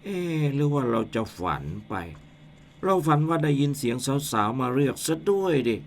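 A man's voice: medium pitch, animated, normal speed, slight background noise, close to an everyday voice. A man playing a jumpy young village man who grumbles and stammers in a radio ghost drama. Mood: grumpy.

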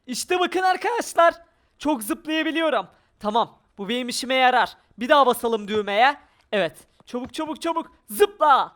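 A gamer giving excited, exclamatory gameplay commentary with role-play, narrating every action aloud in speech. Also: sits high, normal speed. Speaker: gaming video creator. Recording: music behind the voice. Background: background music.

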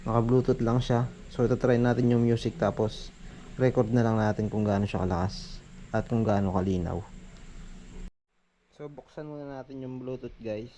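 Filipino man, mellow dark tone, medium pitch, casual product review, informal delivery, slightly noisy recording. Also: fairly even intonation, moderate pace, pauses often. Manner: informal, addressing viewers as guys. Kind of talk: casual hands-on product demo. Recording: noisy amateur recording with background ambience.

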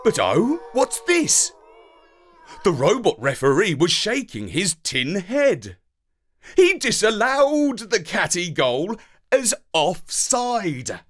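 Male narrator for children's fiction, slightly higher-pitched, playful and dramatic, extremely varied intonation. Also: huge pitch swings, slow, fairly bright tone. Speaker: storyteller reading a children's story. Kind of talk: animated scripted narration of a children's story.

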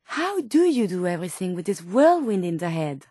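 A woman's voice: fairly low pitch, big pitch swings, normal speed, warm tone, breathy edge, no echo, studio quality. An actress voicing a polite, curious female robot scientist from a video game, with a French accent and formal, precise, earnest phrasing. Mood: earnest, musing. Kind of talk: video game companion dialogue.

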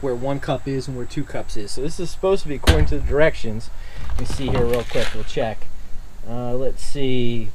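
A man of mid pitch talks through an outdoor camp-stove meal in a loose, unhurried way, full of little fillers and restarts. He was recorded on a camera mic outdoors by a truck tailgate. A faint open-air hum sits behind him. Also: low-quality recording. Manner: relaxed, step-by-step, many fillers and restarts. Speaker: outdoor cooking channel host. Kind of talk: casual cooking demonstration.

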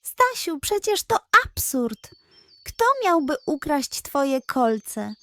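A woman voicing a friendly young male hare in a children's bedtime story, animated, teasing but caring. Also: sits high, slow, dry recording, voice pushed up, full character voice. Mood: amused, teasing.

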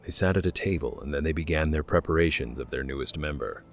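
A man's voice: a bass voice, quick pace, gravelly. A man reading scripted narration for a fantasy audio drama.

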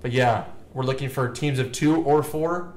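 A young man co-hosting a casual podcast, talking loudly and rambling excitedly, his voice cracking from yelling the day before. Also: medium pitch, normal speed, slight background noise. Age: young adult. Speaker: podcast co-host. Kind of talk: casual chat. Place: small office conference room. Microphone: headset with desk mic.

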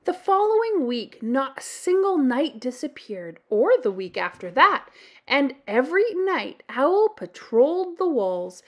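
A woman hosts a children's story time, reading a picture book aloud. Her voice is fairly high and animated, and she takes her time, speaking expressively to young listeners. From Canada.

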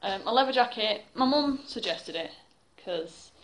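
A woman's voice: medium pitch, lively intonation, measured pace, fairly bright tone, a little hiss or hum. Chatty young woman vlogging on a phone. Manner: chatty, rambling with many fillers. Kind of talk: casual vlog to camera. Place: bedroom, then indoors at home. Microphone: phone held at arm's length.

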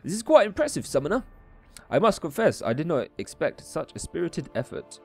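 A man's voice: a little high, wildly swooping pitch, fairly quick, vocal fry, voice pushed up, exaggerated voice. Sinister, imperious game schemer read by a man. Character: sinister ancient male schemer from a comic-book game. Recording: music behind the voice.

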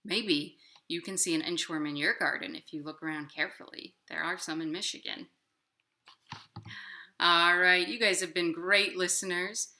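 A woman hosting storytime, speaking in simple, clear phrases to young children. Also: fairly low pitch, fairly even intonation, normal speed, sharp tone. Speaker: children's library storytime host. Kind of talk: storytime for young children, talking and reading aloud. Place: living room at home, indoors.

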